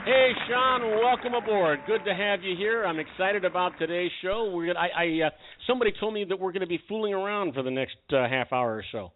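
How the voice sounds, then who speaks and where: A man's voice: sits high, a little fast, few pauses, crowd noise, background noise. A man hosting a talk radio show, bantering and joking with his guests. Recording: tinny phone-like radio audio.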